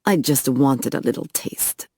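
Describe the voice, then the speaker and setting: A cold male vampire kidnapper hisses threats close to his hostage, read by a woman narrator. The voice is low and dry, recorded up close with no echo. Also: a little slow, mellow, husky, full character voice. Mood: menacing. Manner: hissing, threatening.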